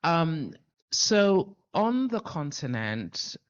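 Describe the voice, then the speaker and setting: A man at a policy roundtable with a loud voice, speaking forthrightly and stressing his points by repeating them. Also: a little high, big pitch swings, drawn-out words, clear, resonant tone, lo-fi sound. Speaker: panel participant.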